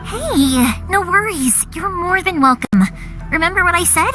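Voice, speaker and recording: A young woman voicing a cheerful fireworks maker who talks in a lively, reassuring way, over game music. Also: very high-pitched, unhurried. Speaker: fireworks shop owner. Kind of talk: video game dialogue. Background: game background music.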